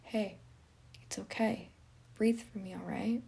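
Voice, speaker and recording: A woman voicing a caring young man who soothes a frightened friend in a soft, gentle, husky voice. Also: medium pitch, fairly even intonation, slow, heavily acted.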